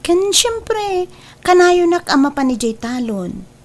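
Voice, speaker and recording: A woman playing a coy, easily flustered young bride-to-be, hesitant and drawn-out, in an Ilocano comedy drama. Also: extremely high pitch, big pitch swings, full character voice. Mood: defensive, explaining. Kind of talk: Ilocano radio-style comedy drama acting.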